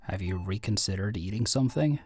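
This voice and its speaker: A man's voice: lowish voice, lively intonation, a little fast, a little mellow, slightly rough. A man playing a teasing, caring young teammate in an audio roleplay, with a wry manner. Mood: concerned. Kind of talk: audio roleplay drama acting.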